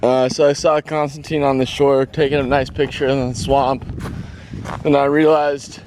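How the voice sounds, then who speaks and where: A hoarse man vlogging casually while hiking, rambling and halting, with frequent laughs. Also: mid-range voice, level tone, a little mellow, slight background noise, rough recording. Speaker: hiker vlogger. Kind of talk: casual trail vlog. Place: outdoors on a rural trail.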